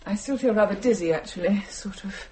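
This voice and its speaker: A woman acting as a groggy, bewildered character in a horror audio play, mid-pitched. She talks in vague, halting phrases with a touch of irritation, over faint background noise. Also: dark tone, close to an everyday voice. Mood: dazed, dreamy. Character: drowsy, dazed, puzzled woman.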